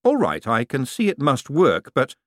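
A man voicing a quick-witted young male schemer who talks in a brisk, incredulous way. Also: mid-range voice, wildly swooping pitch, dry recording, studio quality, voice pushed up.

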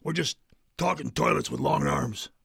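A man playing a laid-back, gruff talking toilet in a children's audio play. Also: fairly high pitch, normal speed, a bit bright, dry recording, studio quality, heavily acted. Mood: casual. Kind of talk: children's audio theater acting.

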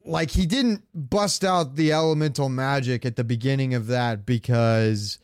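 A dry, close recording of a man with a mid-pitched voice co-hosting a podcast. He chats casually and rambles along with a fan's enthusiasm. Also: normal speed, a little mellow, no room sound. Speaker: podcast co-host. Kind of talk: casual chat about games.

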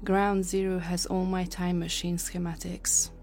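A woman's voice: lowish voice, flat delivery, measured pace, a little mellow, faint music behind, lightly acted. A woman playing an earnest, grave time-travel scientist in an audio drama. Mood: urgent warning.

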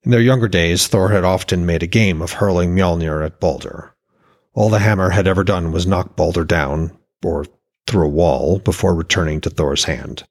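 Male narrator for fantasy audiobook: deep, gravelly, scripted storytelling. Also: normal speed. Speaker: author and podcast narrator.

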